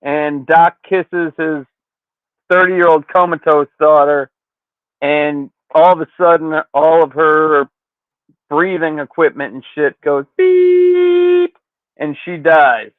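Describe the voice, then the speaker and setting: A man's voice: a little high, steady tone, slow pace, clear, resonant tone, dry recording. A man hosting a podcast, casually recapping a story in slangy, profane language, with thin phone-like sound. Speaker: podcast host.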